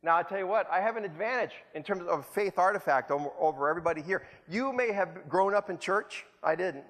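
A man's voice: high voice, expressive, a little fast, fairly bright tone. A man from El Salvador, preaching a sermon with rhetorical repetition and self-deprecating humor. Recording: long hall reverb.

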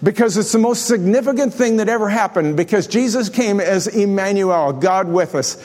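Man in his sixties preaching, high voice. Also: normal speed, darkish tone. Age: 60s. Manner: storytelling, self-deprecating humor. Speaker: pastor. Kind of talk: sermon with scripture reading and jokes. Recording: echoey hall with long reverb.

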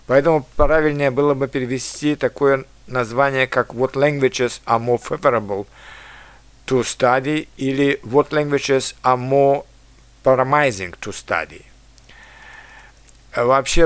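Measured male language teacher, dry close sound. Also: medium pitch, a bit bright, no room sound. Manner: measured, reads foreign phrases slowly and carefully. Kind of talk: lecture.

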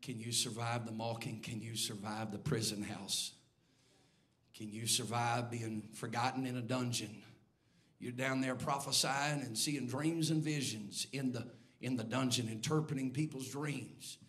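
A man preaching a sermon in a church, swinging between low, hushed lines and loud, near-sung calls to the congregation. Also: steady tone, unhurried. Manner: builds from quiet, low delivery to loud, near-sung shouting. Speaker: bishop preaching. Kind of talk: Pentecostal sermon. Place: church sanctuary before a congregation.

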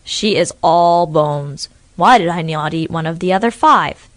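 A greedy storybook crocodile drawls out each word with slow, loud relish, as a woman reads him. The voice is close and dry, with no room around it. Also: lowish voice, no room sound, lightly acted. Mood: gloating. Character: hungry male crocodile, greedy and gloating.

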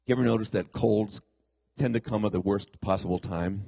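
A man's voice: fairly low pitch, brisk, vocal fry, dark tone, husky, no echo, clean studio sound. A middle-aged man, a pastor, preaching a conversational sermon in a congested voice that he puts down to a pulled tooth, often putting questions to the congregation. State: congested from a cold-like ailment after a tooth extraction.

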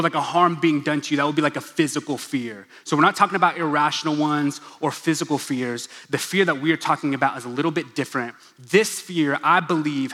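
A young man preaches a casual sermon, full of jokes and asides to the crowd. His voice sits fairly high and sounds bright and forward. A long hall reverb trails after every line. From Oklahoma, USA. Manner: chatty, joking, asides to the crowd. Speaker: pastor. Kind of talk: casual sermon in a church gathering. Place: large church hall.

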